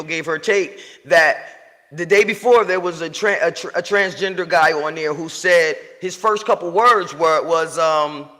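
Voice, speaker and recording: A man's voice: high-pitched, bright, forceful tone, long reverb tail. A man hosting a live show, talking loosely in slang with lots of fillers and restarts. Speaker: podcast host. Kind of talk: casual live show chat.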